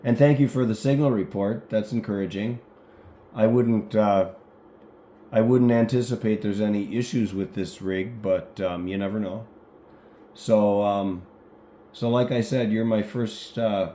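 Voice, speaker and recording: An American man talking casually as an amateur radio operator, halting and conversational, with formal call-sign phrasing. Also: lowish voice, warm tone, a little hiss or hum. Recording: roomy echoing sound.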